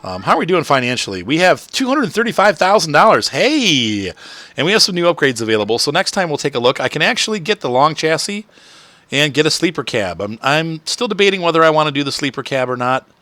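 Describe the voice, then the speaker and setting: Chatty man with a bright, slightly rough voice. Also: a little high, very animated, quick pace, dry recording. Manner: rambling, chatty, with frequent fillers and soft laughs. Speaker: gaming channel host. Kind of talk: casual live-stream gameplay commentary.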